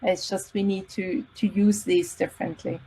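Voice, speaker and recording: A woman, a linguist who teaches students, explaining her view on a panel in a measured way with some fillers. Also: medium pitch, steady tone, mellow, breathy edge, low-quality recording. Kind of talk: online panel discussion.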